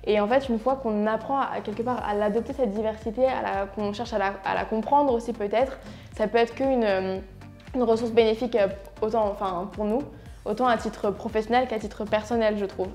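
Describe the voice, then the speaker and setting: A woman's voice: on the high side, fairly even intonation, fairly quick, darkish tone, soft background music. A young adult woman co-hosting a conversational interview, speaking in a thoughtful, articulate way. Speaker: co-host, agency CEO.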